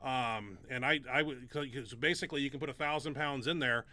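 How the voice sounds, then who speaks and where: A man's voice: mid-range voice, a little fast, bright, forceful tone. A middle-aged man hosting a casual Q&A chat, rambling with many fillers and restarts, his nose stuffed up. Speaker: show host and powerlifter.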